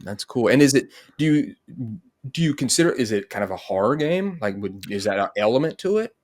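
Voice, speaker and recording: A man's voice: medium pitch, sing-song, fairly quick. A man hosting a casual interview chat, rambling informally and thinking aloud as he goes. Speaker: podcast host.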